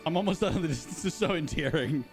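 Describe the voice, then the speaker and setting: A man's voice: fairly high pitch, lively intonation, quick pace, creaky, faint music behind. A man hosting a casual live stream for a game studio, chatting and answering viewers' questions. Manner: chatty, rambling, talks to chat.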